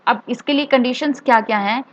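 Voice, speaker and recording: A woman's voice: fairly high pitch, fairly quick. A woman with an Indian accent giving a step-by-step tutorial as an instructor, repeating key points for clarity and mixing in English terms. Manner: mixes English terms and phrases into speech. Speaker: trading instructor.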